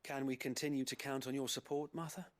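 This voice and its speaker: A man's voice: medium pitch, fairly even intonation, quick pace, natural voice. A man voicing a loyal knight in a game, formal, earnest and deferential. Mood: earnest resolve. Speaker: video game character. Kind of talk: dramatic game voice acting. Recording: faint game music behind. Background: game music.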